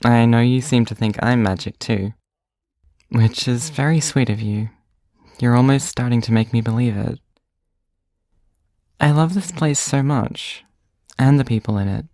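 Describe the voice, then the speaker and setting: An actor playing a gentle, vulnerable person speaking affectionately in an intimate audio drama monologue, tender and sincere. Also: mid-range voice, unhurried, pauses often, no room sound, studio quality, natural voice. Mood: affectionate, playful. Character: gentle, vulnerable bar resident leaving an affectionate voicemail.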